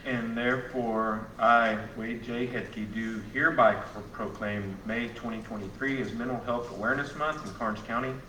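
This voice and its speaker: Texan man, county judge, plain procedural delivery, heard from afar in an echoey room with steady background hiss. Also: mid-range voice, normal speed, few pauses. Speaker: county judge chairing the meeting. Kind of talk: chairing a public meeting. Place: county courtroom. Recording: echoey room, distant mic, steady noise.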